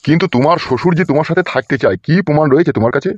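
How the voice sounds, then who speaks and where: A man's voice: fairly high pitch, racing, husky, highs cut off. A man voicing a foul-mouthed, bullying police officer in a comedy cartoon, scolding and domineering. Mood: stern, questioning. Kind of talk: Bengali comedy cartoon dubbing.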